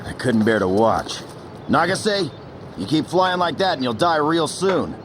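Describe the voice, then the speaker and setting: An actor playing a gruff, blunt old firebrand of a squadron leader, good-natured underneath, in a game cutscene. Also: male voice, a little high, sing-song, unhurried, noisy background. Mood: apologetic.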